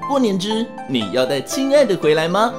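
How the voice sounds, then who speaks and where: A woman's voice: medium pitch, big pitch swings, moderate pace. A woman announcer reading a scripted introduction to an audio drama. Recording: clear music bed.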